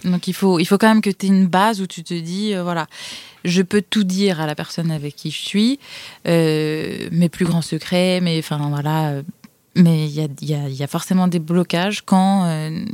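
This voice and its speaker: A woman's voice: lowish voice, steady tone, moderate pace, a little mellow, no room sound. A woman co-hosting a casual discussion, talking reflectively and searching for the right words.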